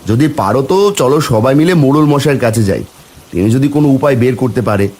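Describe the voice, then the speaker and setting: A man's voice: medium pitch, fast talker, darkish tone, slight background noise, natural voice. A man voicing a worried, caring village husband in a cartoon, with a Bengali accent and colloquial, exclaiming speech. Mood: resolute. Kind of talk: cartoon dialogue acting.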